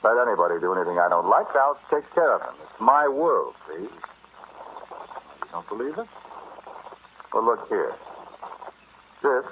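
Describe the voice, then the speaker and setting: An actor playing a boastful, callous treasure thief in an old-time radio horror drama, talking in a halting, intimate way straight to his listener. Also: fairly low pitch, sing-song. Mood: menacing, gloating. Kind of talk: old-time radio horror acting, first-person narration. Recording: muffled, noisy vintage radio recording.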